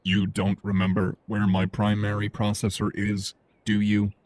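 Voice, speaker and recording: A man playing a calm, teasing AI companion with a dry, measured manner in a sci-fi audio drama. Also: lowish voice, a little hiss or hum, natural voice. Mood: calm, instructive.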